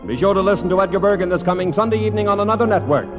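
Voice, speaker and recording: High-pitched American vintage radio announcer man. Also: racing. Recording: dull vintage radio broadcast with music behind. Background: music.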